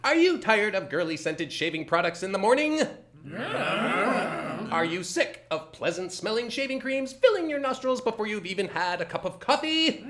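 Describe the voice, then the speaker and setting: A macho old-time radio pitchman selling shaving cream. He pushes his voice high and shouts with bombastic emphasis. Music plays behind him. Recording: music behind, live stage.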